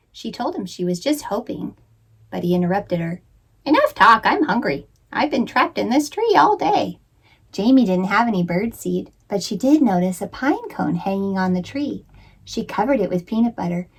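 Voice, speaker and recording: Lady doing storytime read-aloud for kids, expressive, medium pitch, plays up the suspense. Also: slightly rough. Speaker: children's author and librarian reading aloud.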